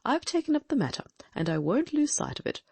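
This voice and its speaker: A woman's voice: high voice, sing-song, brisk, a little mellow, slightly rough, no echo, full character voice. A woman voicing a brilliant, confident Victorian detective in an audiobook, reasoning aloud with precise diction. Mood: deducing. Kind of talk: audiobook dramatic reading.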